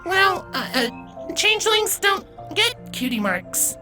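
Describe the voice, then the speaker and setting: A woman's voice: very high-pitched, very animated, takes it slowly, over music, heavily acted. A woman voicing a shy, bookish young changeling princess filly in a fan fiction reading, with a hesitant, earnest manner. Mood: hesitant, awkward.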